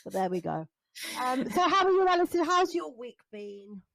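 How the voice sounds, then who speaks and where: Female podcast co-host, high-pitched and animated, self-deprecating banter, casual delivery. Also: moderate pace, creaky, no echo.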